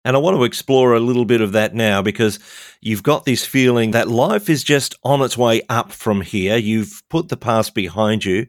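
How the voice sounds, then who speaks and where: A man hosting an interview, asking gently probing, thoughtful questions. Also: mid-range voice, normal speed, no echo, clean studio sound. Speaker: podcast host.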